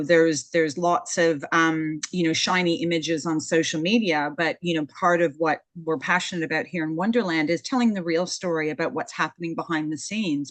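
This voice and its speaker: Canadian-born lady host, lowish voice, gushing and rambly, dry mic sound. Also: a bit bright, no echo. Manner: effusive, rambling, with many restarts. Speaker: podcast host. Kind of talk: casual interview conversation.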